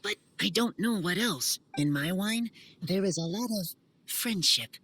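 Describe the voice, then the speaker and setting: A woman voicing an earnest, shy wild boy in a video game, speaking in halting, simple sentences. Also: mid-range voice, animated, fairly bright tone, a little hiss or hum. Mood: sincere. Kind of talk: animated video game voice acting.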